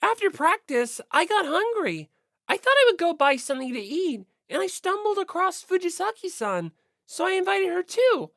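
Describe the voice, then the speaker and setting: Audiobook role: cheerful, breezy teenage girl athlete, pitched high and animated played by a woman. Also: moderate pace, no room sound, clean studio sound, heavily acted. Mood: bright, carefree. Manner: exclamatory, breezy. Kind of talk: audiobook character voice.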